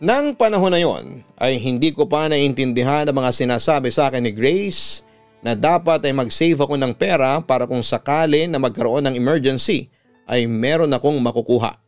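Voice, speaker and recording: A man's voice: mid-range voice, moderate pace. A Filipino man who works as a radio announcer, reading out a listener's story as dramatic scripted narration. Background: music behind the voice.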